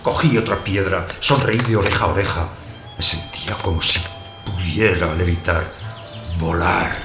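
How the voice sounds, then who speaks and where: Deep-voiced, lonely narrator, roomy sound. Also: male voice, normal speed, over music. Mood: absorbed, quietly elated. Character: lonely abandoned householder narrating his own strange encounter. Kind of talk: first-person literary narration in audio fiction. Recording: roomy echo, clear background noise.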